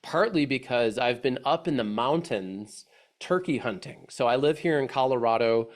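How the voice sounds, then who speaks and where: A man's voice: mid-range voice, expressive, normal speed. Congested man giving a chatty webinar. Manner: friendly, chatty, many restarts and fillers. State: congested from allergies. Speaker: webinar presenter, agency head. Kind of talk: casual, rambling webinar presentation.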